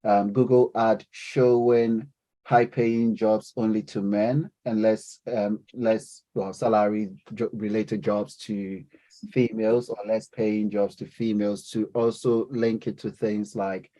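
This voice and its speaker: A man teaching a class and leading the discussion. His voice sits a little low, and he talks haltingly, full of fillers and self-corrections. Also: level tone, warm tone. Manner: hesitant, many fillers and restarts. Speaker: lecturer.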